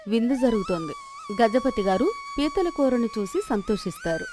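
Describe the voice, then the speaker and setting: A woman's voice: high voice, huge pitch swings, normal speed, over music. A woman narrating a scripted moral story.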